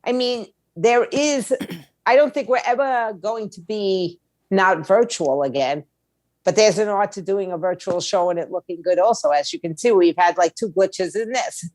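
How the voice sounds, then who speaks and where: A woman's voice: mid-range voice, moderate pace, a little mellow, no room sound. Blunt, opinionated woman, dry close sound. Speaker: guest, music label owner and attorney. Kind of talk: conversational interview answers.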